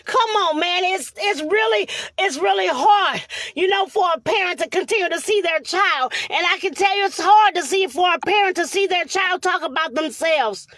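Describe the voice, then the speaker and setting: Very high-pitched woman preaching motivation. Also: moderate pace, a bit bright, dry recording. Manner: exhorting, emphatic, repetitive phrasing with rhetorical questions. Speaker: motivational preacher hosting a live stream.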